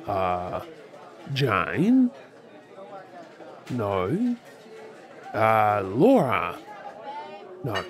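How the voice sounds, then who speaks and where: A man's voice: mid-range voice, full character voice. Man acting a swooping, tipsy Australian flirt. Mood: pestering, playful. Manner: teasing, drawling, halting with hesitations. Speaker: audio roleplay performer. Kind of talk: ASMR audio roleplay, flirty monologue to the listener. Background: faint bar crowd chatter.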